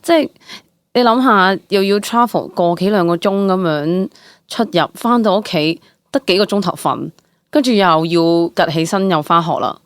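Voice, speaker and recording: A young woman with a low voice and a Hong Kong Cantonese accent, recorded close and dry. She talks casually as an actress being interviewed, colloquial and full of exclamations. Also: normal speed, clear, resonant tone, dry recording. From Hong Kong, Southern District. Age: young adult. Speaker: actress, podcast guest.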